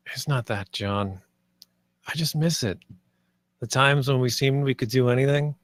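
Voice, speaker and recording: A man's voice: medium pitch, a little slow, dry recording, close to an everyday voice. A man reading the part of a wistful, idealistic theme-park designer at a screenplay table read, earnest and understated. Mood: dejected.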